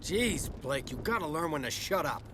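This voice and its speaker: A man's voice: a little high, big pitch swings, normal speed, background noise. A man playing a plain-spoken, guarded farmer on a space colony in a video game, earnest, folksy and defensive. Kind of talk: cinematic sci-fi game dialogue. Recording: music under the voice.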